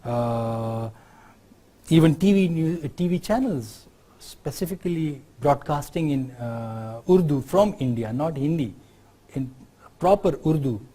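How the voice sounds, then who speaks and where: A middle-aged man with a Pakistani accent giving an unscripted university lecture, often pausing on fillers and checking in with "right?". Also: fairly high pitch, animated, dark tone. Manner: unscripted explaining, many fillers, tag questions like "right?".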